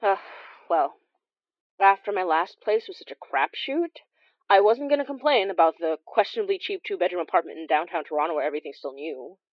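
A woman's voice: on the low side, animated, a little fast, dry recording, close to an everyday voice. Dry, slightly muffled audio drama, actress playing a rambling filipino immigrant woman confessing stuff, chatty n self-deprecating. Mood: chatty, wry. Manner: hesitant, chatty, trailing off and correcting herself. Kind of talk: audio drama monologue as an intimate phone-call confession. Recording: slightly dull, dry close recording.

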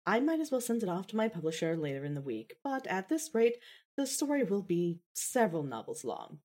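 A woman's voice: mid-range voice, big pitch swings, fairly quick, warm tone. A woman playing a composed, articulate adult daughter, reserved but fond, in a fan fiction audio drama. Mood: reassuring, composed.

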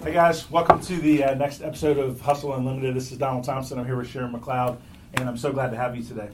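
A man's voice: medium pitch, level tone, quick pace, over music. A man hosting a podcast with casual, conversational interviewing. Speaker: podcast host. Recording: faint background noise.

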